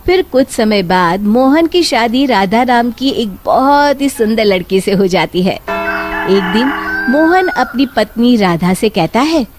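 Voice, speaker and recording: A woman's voice: a little high, animated, a little slow, faint music behind. A woman narrating a scripted moral story for children.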